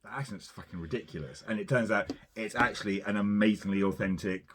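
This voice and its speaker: Low-voiced man musing in casual chat. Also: fast, fairly bright tone, a little hiss or hum. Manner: rambling, hedging with "you know" and "sort of". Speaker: podcast co-host. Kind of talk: casual film review chat.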